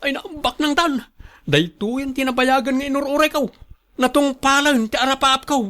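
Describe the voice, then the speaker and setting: A man playing a stubborn, excitable young son in a radio drama, with a whining, eager way of arguing. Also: extremely high pitch, normal speed. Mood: excited, impatient. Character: stubborn, excitable grown son dreaming of riches. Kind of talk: Ilocano radio drama acting.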